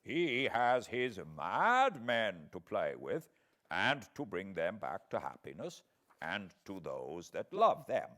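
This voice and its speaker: Slow, courtly old professor. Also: male voice, lowish voice, huge pitch swings, a bit bright, heavily acted. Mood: gentle, coaxing. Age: older. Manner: slow, deliberate, halting broken-English phrasing. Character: elderly courtly foreign professor, kindly, grave, wise. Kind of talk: audiobook character voice.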